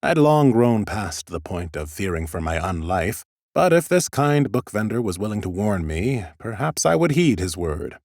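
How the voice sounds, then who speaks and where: A man reading scripted first-person fantasy narration for an audiobook in an expressive, theatrical way. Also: on the low side, normal speed, creaky, dry recording, clean studio sound.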